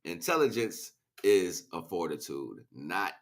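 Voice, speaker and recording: A man's voice: low-pitched, sing-song, slow. A man hosting a casual livestream, talking in a slangy, rambling, preachy way as he chats back to viewers. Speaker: livestream host. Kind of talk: casual impassioned livestream monologue.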